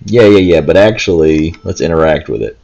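A man's voice: a bass voice, a little fast, creaky, darkish tone, highs cut off. A man giving casual gameplay commentary, explaining step by step in a rambling way. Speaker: gameplay video host. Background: faint game music.

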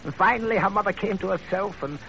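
High, creaky, rambling old matchmaker. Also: male voice, fast, bright, forceful tone, heavily acted. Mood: matter-of-fact, busy. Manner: rambling, hemming, repeats phrases. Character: wily old professional matchmaker, talkative and self-satisfied. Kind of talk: 1930s radio drama acting. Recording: noisy, crackly 1930s radio broadcast.

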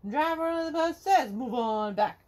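A middle-aged woman reading a children's picture book aloud to kids at home, slowly singing the verses in a sing-song way. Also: sits high, animated, a little mellow. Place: home living room, indoors.

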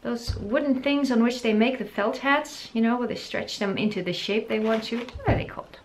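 A woman's voice: a little high, normal speed, slight background noise, rough recording. Chatty woman vlogging from her living room. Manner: chatty and self-deprecating, wondering aloud. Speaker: sewing vlogger. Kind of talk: casual tutorial talk to camera.

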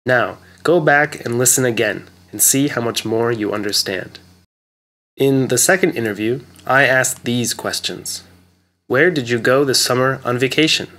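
Male English teacher, clear careful narration, mid pitch. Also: moderate pace, fairly bright tone. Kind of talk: slow scripted lesson narration.

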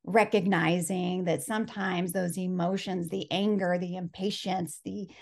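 A woman's voice: fairly low pitch, fairly even intonation, unhurried, vocal fry, a little mellow. Reflective woman guest on a video call. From Los Angeles. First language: Spanish. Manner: earnest, reflective storytelling with frequent laughs. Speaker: life coach guest. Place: home office. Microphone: video call webcam.

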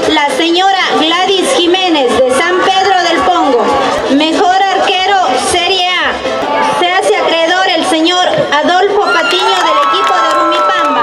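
Very high-pitched Ecuadorian woman announcing awards. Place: covered outdoor stage in a public square. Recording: echoey, distant PA with music and crowd. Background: loud music and crowd.

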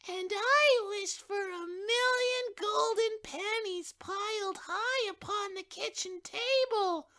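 A woman voicing a high-voiced young child in a storybook, crying out shrilly. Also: takes it slowly, few pauses, bright, forceful tone, heavily acted.

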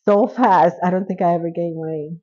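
A woman's voice: on the low side, fairly even intonation, moderate pace, darkish tone, dull audio. A woman in her thirties from Costa Rica, a podcast guest chatting casually from a living-room couch, laughing often and trailing off. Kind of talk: casual interview.

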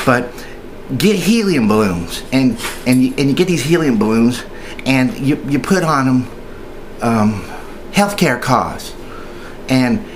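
A man's voice: medium pitch, poor audio. A man with a mid-range voice gives an informal, chatty how-to talk as a union member. He rambles in a folksy way, hesitating and repeating himself. It sounds like an amateur recording made at home, with noticeable noise in the background. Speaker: union member giving tips. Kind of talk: informal training video, chatty monologue.

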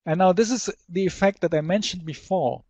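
Higher-voiced man presenting a webinar. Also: fairly quick, dark tone, no room sound. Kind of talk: product demonstration and slide presentation.

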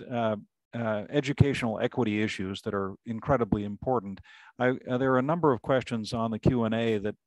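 A middle-aged man moderates a video-call panel through a headset mic, his voice dry and close with no room sound. He speaks at an average pitch and often restarts his phrases. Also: dry recording, poor audio. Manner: warm-mannered, restarts, many fillers. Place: home room, indoors.

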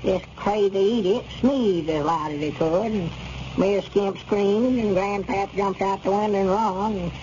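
A man's voice: high-pitched, measured pace, warm tone. An actor playing a gullible, flustered old rural Southern man in a 1930s radio comedy, rambling on in folksy fashion. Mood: aggrieved storytelling. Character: flustered, gullible old country storekeeper, high-voiced. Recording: muffled, noisy 1930s radio recording.

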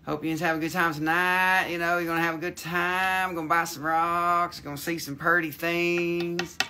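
A man voicing a loud, excitable country hick, drawling and whooping as he runs a live sale. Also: very high voice, moderate pace, background noise, voice pushed up. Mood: hyped. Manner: hollering, drawling, goofy. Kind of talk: live-stream sales banter.

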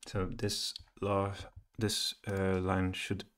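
A middle-aged man thinking aloud as he presents a coding screencast, halting and muttering to himself at a computer. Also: sits low, completely flat delivery, fairly bright tone. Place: small room indoors at home, at a computer. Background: keyboard typing and clicking.

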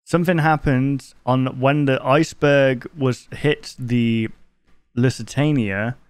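A man's voice: medium pitch, steady tone, slow pace, clean tone, no echo. A man in his twenties giving casual reaction commentary as a YouTube host, close to a desk microphone in a bedroom. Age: 20s. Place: bedroom, indoors.

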